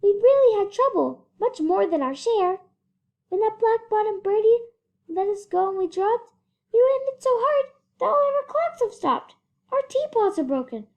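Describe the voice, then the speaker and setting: A female reader voicing a tiny, frightened mayor of speck-sized storybook folk, pleading plaintively in a squeaky voice. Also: exaggerated voice.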